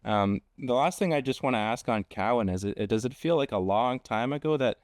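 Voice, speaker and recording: A man hosting a casual sports interview, thinking aloud haltingly and repeating words as he builds his questions. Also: medium pitch, animated, no room sound, clean studio sound. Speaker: podcast host interviewing a guest.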